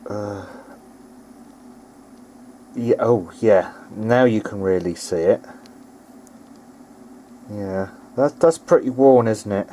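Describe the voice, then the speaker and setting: A man's voice: lowish voice, slow pace, pauses often, warm tone. A man giving casual, unscripted commentary as a hobbyist at his workbench, hesitant and tentative as he thinks aloud. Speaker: hobbyist video maker repairing vintage audio gear. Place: home workbench, speaking behind a handheld camera. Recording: clearly noisy amateur home recording.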